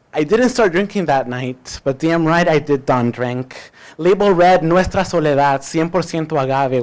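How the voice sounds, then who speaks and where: A man with a slightly high voice performs spoken-word poetry. He shapes each phrase deliberately, leaning on key words with emphasis. Pauses between phrases give the reading a dramatic weight. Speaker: poet.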